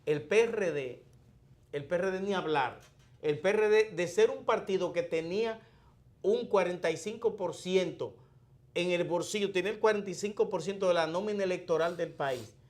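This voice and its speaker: A man's voice: high-pitched, darkish tone. Dominican man giving political commentary. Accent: Dominican Spanish. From Dominican Republic. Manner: deliberate explanatory, frequent restarts and fillers.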